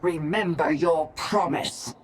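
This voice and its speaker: A woman's voice: low voice, slow. A woman playing a composed, polite but firm minder in a video game, speaking with control. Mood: stern, calm. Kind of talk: video game cutscene dialogue. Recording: clear noise behind the voice.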